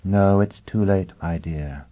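Storybook noblewoman played by a male narrator: lifted pitch, husky breath, amused hauteur, icy and fierce, on a slightly dull recording. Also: lively intonation, a little slow. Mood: urgent. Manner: amused, haughty, theatrical.

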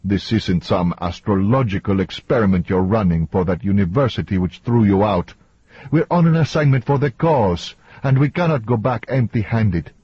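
A man acting an exhausted, short-tempered mission leader, husky. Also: lowish voice, animated, normal speed, warm tone, dull audio. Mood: agitated, insistent. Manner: demanding, impatient, swearing. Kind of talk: audiobook character dialogue.

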